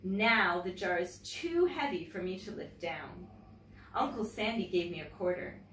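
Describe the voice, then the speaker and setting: A woman teacher reading a picture book aloud to children in an expressive storytelling manner, pausing to comment and ask questions. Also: lowish voice. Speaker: teacher and story-time host. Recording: roomy, distant mic, faint background noise.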